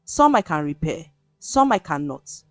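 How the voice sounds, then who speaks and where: A woman's voice: deep voice, very animated, no room sound, studio quality, faint music behind. Woman voicing a frail, regretful old chief. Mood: sorrowful, confessional. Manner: weak, halting, confessional. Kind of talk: storybook narration dialogue.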